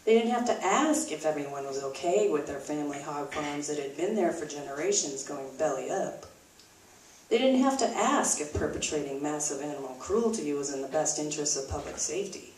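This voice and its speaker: A woman with a slightly low voice narrates a puppet show like a wry storybook tale. She is recorded from a distance in an echoey room. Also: expressive, brisk, darkish tone. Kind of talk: scripted storybook-style narration. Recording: roomy, distant microphone, faint noise.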